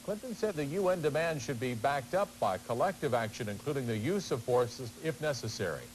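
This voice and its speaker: A male news anchor reading studio news in a steady, authoritative way. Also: medium pitch, brisk, slight background noise, rough recording.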